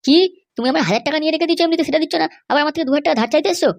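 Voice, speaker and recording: A woman's voice: high voice, rapid-fire, vocal fry. A woman voicing an irritable, anxious young groom-to-be in a comedy cartoon, scolding in an exasperated way.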